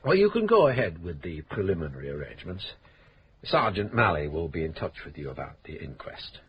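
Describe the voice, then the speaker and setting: An actor playing a polite, probing police inspector in a radio drama, courteous and measured. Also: male voice, low-pitched, sing-song, a little hiss or hum. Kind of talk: 1970s-80s radio drama acting.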